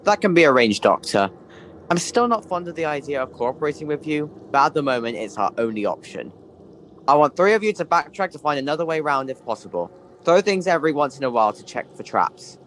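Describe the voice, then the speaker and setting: An actor playing a stern, grudging chief in an audio drama, blunt and used to giving orders. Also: male voice, fairly high pitch, big pitch swings, fairly quick, a bit bright, a little hiss or hum. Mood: grudging, commanding. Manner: commanding, blunt.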